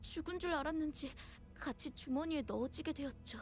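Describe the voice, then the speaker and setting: A woman's voice: high-pitched, very fast, soft background music, natural voice. A woman playing a frightened, earnest young villager in a Korean video game, speaking politely as she explains things. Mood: distressed, recounting.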